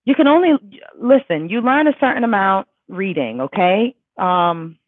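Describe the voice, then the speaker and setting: A woman's voice: medium pitch, expressive, moderate pace, dry recording. Woman vocal coach on a tinny dry line. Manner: chatty and emphatic, many fillers and self-corrections. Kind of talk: casual livestream Q&A, reading viewer questions aloud. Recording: tinny dry phone-like sound.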